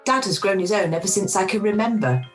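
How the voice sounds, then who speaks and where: A woman acting a middle-aged food lover in a home-made radio play, warm and unsure of herself. Her medium-pitched voice swings expressively, with music in the video-call recording. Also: animated, quick pace, natural voice. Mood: fond, enthusiastic.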